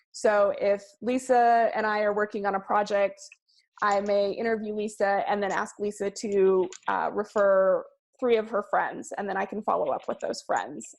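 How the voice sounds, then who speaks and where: A woman giving a presentation on research methods, speaking in a measured way with frequent ums and slowing down to stress key words. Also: medium pitch, gravelly. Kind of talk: presentation to a class.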